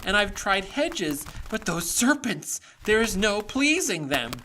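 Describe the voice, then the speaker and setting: A woman playing an indignant, frantic mother pigeon in an audio drama, given to shrill, exasperated outbursts. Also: big pitch swings, slow, background noise. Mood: exasperated, plaintive.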